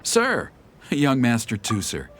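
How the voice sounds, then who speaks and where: A man's voice: medium pitch, huge pitch swings, slow pace, over music. A man playing a dutiful, deferential servant in an anime video game, speaking politely and formally. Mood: respectful.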